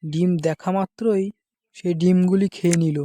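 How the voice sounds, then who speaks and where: High-pitched man narrating a cartoon story. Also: fairly even intonation, normal speed, dark tone, a little hiss or hum.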